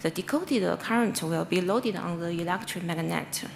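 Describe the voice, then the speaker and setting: A woman researcher with a Chinese accent gives an academic conference presentation in halting English, often stumbling and correcting herself. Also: on the low side. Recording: clearly noisy presentation recording.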